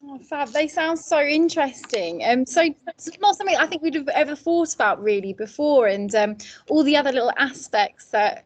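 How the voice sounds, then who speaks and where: A woman with a British accent and a high-pitched voice acts as interviewer. She puts her questions informally, in everyday conversational language. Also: lively intonation, normal speed, few pauses, no room sound.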